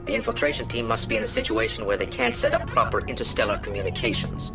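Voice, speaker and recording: An actor playing a formal alien councilor delivering a briefing in a video game, over music. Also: male voice, fairly low pitch, fast talker, lightly acted.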